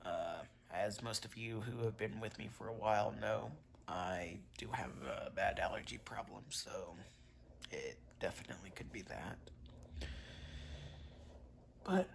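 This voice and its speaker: Slow, gravelly-voiced man vlogging. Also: on the low side, slight background noise. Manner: halting, many fillers and restarts. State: congested. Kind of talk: casual vlog chat to viewers.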